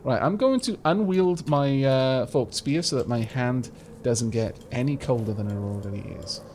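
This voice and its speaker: A man hosting a let's play, chatting casually and explaining game mechanics with a slight rumble in his voice. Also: normal speed, darkish tone. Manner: chatty, explanatory, frequent restarts and fillers. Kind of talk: casual gameplay commentary.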